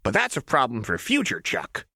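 A man's voice: mid-range voice, huge pitch swings, moderate pace, bright, forceful tone, breathy edge, dry recording, clean studio sound, pitched above the performer's own voice, heavily acted. A man voicing a manic, gleefully menacing young woman in an audiobook, with chirpy, taunting, slangy chatter. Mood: playful menace.

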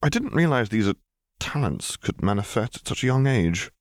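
A man's voice: mid-range voice, very animated, normal speed, dry recording, pitched above the performer's own voice, lightly acted. A man voicing a dry, exasperated officer in a story, sarcastic and straight-faced. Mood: puzzled.

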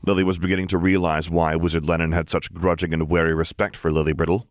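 A man's voice: low-pitched, little pitch movement, fast talker, no room sound, studio quality. A man reading scripted fiction as an audiobook narrator.

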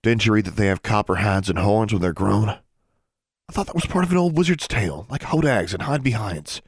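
A man voicing a commanding but caring teenage wizard boy in an audiobook, with terse, low-key instructions. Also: expressive, a little fast, breathy edge, dry recording, studio quality. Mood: low, planning. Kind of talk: audiobook character acting.

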